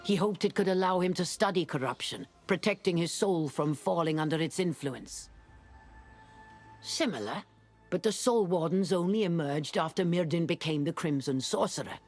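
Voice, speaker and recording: An actress playing an intense, preoccupied woman researcher in a fantasy video game, theatrical, with a husky voice. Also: lowish voice, animated, moderate pace, darkish tone, faint music behind. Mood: grave. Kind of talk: video game dialogue acting.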